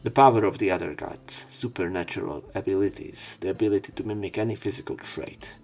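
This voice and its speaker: Very low-voiced man narrating a game. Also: fairly quick, a little mellow. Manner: casual, sweary asides. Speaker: let's play narrator. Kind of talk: reading visual novel text aloud with casual asides.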